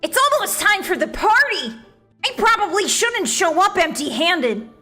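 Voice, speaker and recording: A woman's voice: extremely high pitch, big pitch swings, measured pace, sharp tone, soft background music, voice pushed up, full character voice. A woman voicing a naive, guileless young protagonist of a fantasy visual novel, with an airy, hesitant delivery. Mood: cheerful.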